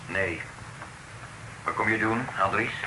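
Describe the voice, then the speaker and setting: Low-voiced sombre man, terse. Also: slow pace. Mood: wary. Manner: terse, subdued. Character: subdued, sombre man keeping vigil, wary and terse. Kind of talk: old Dutch radio play acting. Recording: noisy old radio play recording.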